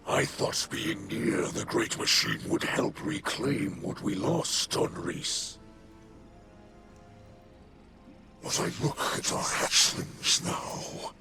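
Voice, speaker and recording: An actor playing a weary, noble old alien leader in a video game, with a whispery rasp and formal, oratorical speech. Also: male voice, fairly high pitch, very noisy, heavily acted. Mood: grave, sorrowful. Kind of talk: dramatic video game cutscene acting. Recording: loud music under the voice.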